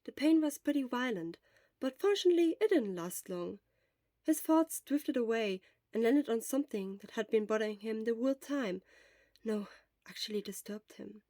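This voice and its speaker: A woman narrates scripted fiction as an audio story reader. Her voice sits fairly high. The recording is dry, with hardly any room sound. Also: lively intonation, moderate pace, mellow, no echo. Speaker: podfic narrator.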